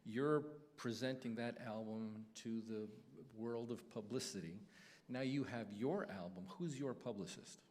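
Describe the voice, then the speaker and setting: A man's voice: mid-range voice, measured pace, a little mellow. A man hosting a radio show, interviewing a guest in a conversational live style. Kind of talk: live on-stage interview chat. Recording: live hall with long reverb.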